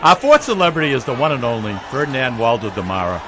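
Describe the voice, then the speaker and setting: A man's voice: mid-range voice, big pitch swings, fast. A man giving earnest, detailed mock live parade commentary as the straight man of a broadcast team. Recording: noisy outdoor broadcast with crowd behind. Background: crowd and outdoor street noise.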